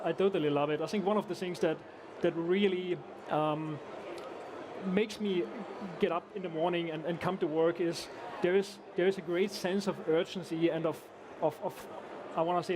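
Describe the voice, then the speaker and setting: Austrian-born engineer, high-pitched, halting casual talk over loud event noise. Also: male voice, fairly even intonation. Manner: hesitant, many restarts and fillers. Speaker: guest engineer. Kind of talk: casual conversational interview. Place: conference event.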